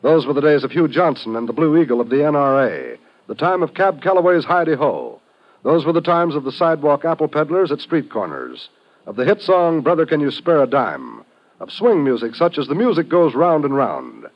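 A man's voice: on the high side, very animated, fairly quick. A man announcing in scripted 1950s network radio style, formal and emphatic. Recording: dull old radio broadcast recording.

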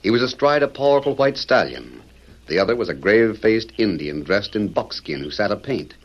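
Dramatic, animated male radio announcer. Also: on the low side, a little fast. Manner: grand, declamatory. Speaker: announcer and narrator. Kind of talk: dramatic old-time radio narration. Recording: dull vintage radio recording under music.

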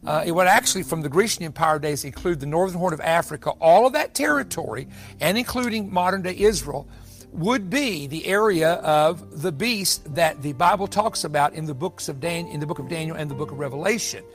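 High-pitched man teaching Bible prophecy. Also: expressive, fairly quick, faint music behind. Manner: explanatory, emphatic, restating points. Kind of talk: sermon-style Bible teaching, partly off the cuff.

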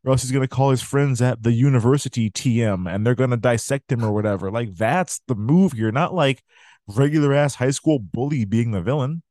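A man with a mellow, warm tone co-hosts a casual movie podcast. His delivery is wry and laid-back. The recording is dry and close. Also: medium pitch, a little fast, no room sound. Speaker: podcast co-host.

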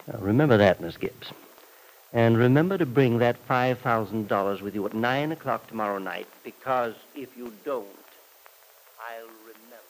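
Actor as a gravelly, menacing shady fixer. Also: male voice, mid-range voice, a little hiss or hum, natural voice, rough recording. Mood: sardonic, domineering. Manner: smooth, deliberate threats, sardonic. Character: shady, menacing, domineering male fixer for hire. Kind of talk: vintage radio serial acting. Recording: vintage radio drama recording.

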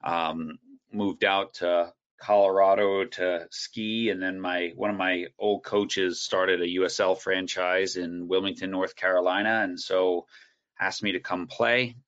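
A man guest on a video call, telling his coaching story in a relaxed, rambling way with many fillers and restarts. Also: low voice, measured pace. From United States. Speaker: guest speaker, soccer coach educator. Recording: dull, lo-fi call audio.

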